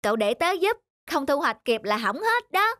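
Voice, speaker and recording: A woman's voice: high-pitched, sing-song, moderate pace, sharp tone, no room sound, clean studio sound, heavily acted. A woman voicing a big, kind-hearted, helpful bear with an earnest manner in a children's cartoon. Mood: friendly, busy.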